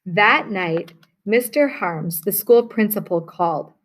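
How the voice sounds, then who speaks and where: A woman teacher reads a children's storybook aloud at an unhurried pace, giving each phrase expression. Her voice sits at an ordinary middle pitch. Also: expressive.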